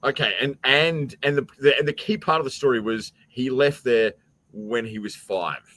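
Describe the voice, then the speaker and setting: Male host, medium pitch, loose bantering style, blunt ribbing, stammery restarts. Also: moderate pace, a bit bright, dry recording. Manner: teasing, blunt, stammering repeats. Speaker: show host running a quiz. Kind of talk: casual bantering chat.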